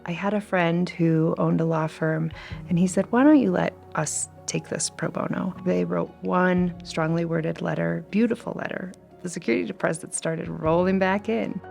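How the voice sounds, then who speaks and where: A woman in her thirties speaking earnestly and reflectively in an interview for a tribute video, over soft music. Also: fairly low pitch, steady tone, moderate pace, gravelly. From Mexican American, grew up speaking Spanish. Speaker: law alumna, nonprofit executive director.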